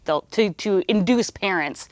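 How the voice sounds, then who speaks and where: A woman's voice: medium pitch, expressive, a little slow, dry recording. A woman chatting informally as an interviewer, in loosely phrased conversational speech.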